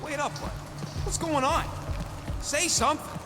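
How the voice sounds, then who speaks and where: An actor voicing a small, hot-tempered but loyal creature in a video game, brash and blunt. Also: male voice, extremely high pitch, steady tone, unhurried. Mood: concerned. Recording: loud music behind.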